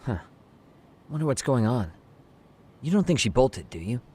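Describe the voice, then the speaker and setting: An actor playing a casual, cheeky detective's partner, informal and inquisitive in a video game cutscene. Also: male voice, mid-range voice, big pitch swings, moderate pace, darkish tone, slightly rough. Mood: breezy, curious. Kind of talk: English-dubbed video game cutscene acting.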